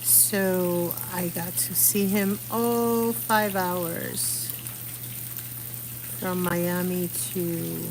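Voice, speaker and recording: Slow-talking middle-aged woman on a noisy live stream. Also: lowish voice, fairly even intonation. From Puerto Rico. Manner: rambling, searching for words. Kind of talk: casual chat while painting. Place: outdoors under an umbrella.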